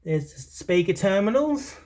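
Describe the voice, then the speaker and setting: A man's voice: high voice, big pitch swings, a little slow, darkish tone. A man giving a casual, unscripted video walkthrough in a hesitant, rambling way, often correcting himself. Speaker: audio channel host. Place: indoors, small home study.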